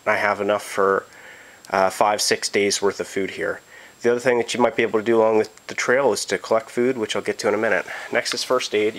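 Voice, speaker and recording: A man with a slightly low voice who is getting over a cold. He talks in a loose, easygoing way, filling pauses with ums and uhs as he explains his kit. He is heard through a handheld camera's mic in a carpeted room at home. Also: fairly bright tone. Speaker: prepper vlogger. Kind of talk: casual gear walkthrough.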